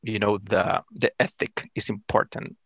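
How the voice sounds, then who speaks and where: A middle-aged man with a low, creaky voice and a Salvadoran Spanish accent. He answers interview questions casually in halting English, circling back over words as he goes. The sound is close and dry. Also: level tone, dry recording, dull audio. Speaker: interview guest, amateur astronomer.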